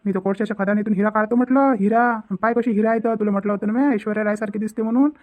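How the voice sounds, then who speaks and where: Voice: mid-range voice, steady tone, racing, a little mellow, lightly acted. A performer voicing a cheeky, grumbling young village lad in a comedy cartoon, with a Vidarbha Marathi accent and a habit of repeating himself. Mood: cheeky, smitten. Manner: muttering complaints, repeating himself. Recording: muffled, phone-like lo-fi sound.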